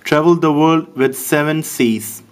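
A man's voice: on the high side, unhurried. A man with a Pakistani accent casually narrating a phone app demo, making amused comments on its answers. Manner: chatty, amused running commentary. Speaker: app demonstrator.

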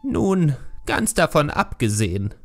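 A man voicing an old female panda elder, a stern, sceptical grandmother who questions in a deliberate, drawn-out way. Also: a little high, very animated, faint music behind, voice pushed up. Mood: stern, questioning. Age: older.

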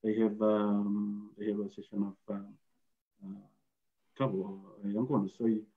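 A man from Fiji, a guest on a video call, explaining in a halting way with many fillers and restarts. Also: on the low side, little pitch movement. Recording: tinny video-call audio.

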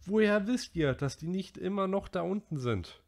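A man's voice: high voice, lively intonation, moderate pace, vocal fry, pitched above the performer's own voice. A man doing a hostile teenage bully. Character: aggressive, domineering teenage boy.

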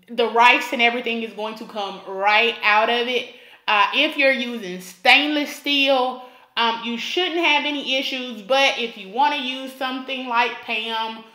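A woman's voice: a little high, sharp tone, reverberant. A woman from Alabama hosting a cooking tutorial, talking the viewer through each step and repeating points for emphasis. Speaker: cooking channel host.

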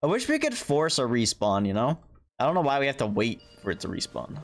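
Animated young American man, game streamer. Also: medium pitch, brisk. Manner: slangy, offhand musing. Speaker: video game livestreamer. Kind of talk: casual gameplay commentary to viewers. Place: indoor streaming room with webcam. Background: game music.

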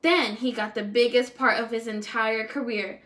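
A young woman reads a children's storybook aloud in a high-pitched voice. She sounds like a teacher with a class of small children, expressive in delivery and now and then stumbling on a word. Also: moderate pace. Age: young adult. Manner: expressive, with stumbles over words. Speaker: teacher reading aloud to first graders. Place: indoors, small room at home.

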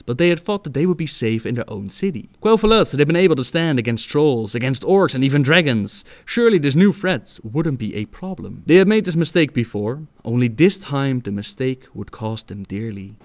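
A man's voice: medium pitch, no room sound. A man giving scripted narration of fantasy game lore as a storyteller.

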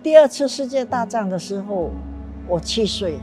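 A woman's voice: mid-range voice, expressive, normal speed, dark tone. A woman in her eighties, a war survivor, telling her story earnestly and with emotion in a documentary interview. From Singapore. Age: 80s. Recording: clear music bed underneath.

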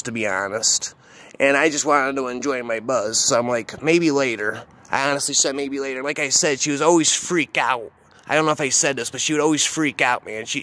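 A man's voice: medium pitch, bright, forceful tone. A man telling an informal first-person horror story in a rambling, repetitive, slangy, sweary way.

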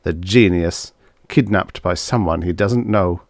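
A man's voice: sits low, unhurried, gravelly, dry recording, studio quality. A man playing a bitter, sardonic American scientist in a story, with a blunt, wry, emphatic manner.

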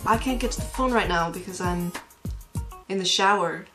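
A woman's voice: lowish voice, normal speed, distant mic, over music, close to an everyday voice. A woman playing a flirty, teasing woman who records a sultry mock voicemail greeting. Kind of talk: mock answering machine greeting.

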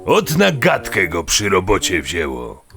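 A man playing a gruff, impatient, burly dwarf thief in an audio drama, with a rough voice and surly rustic dialect. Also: sits low, wildly swooping pitch, unhurried, music in the background, exaggerated voice. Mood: muttering.

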